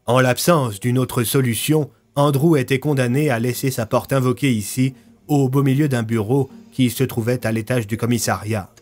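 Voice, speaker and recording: Mid-pitched man narrating a story. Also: steady tone, moderate pace, a little mellow.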